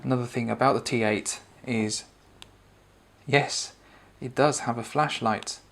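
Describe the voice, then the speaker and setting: Gravelly-voiced man reviewing a product. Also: mid-range voice, steady tone, unhurried, a bit bright. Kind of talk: spoken product review and demonstration.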